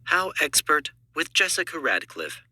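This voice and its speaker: A man's voice: fairly high pitch, very animated, measured pace, pushed and bright, husky, dry recording. A man reading scripted promotional narration for an audiobook audition.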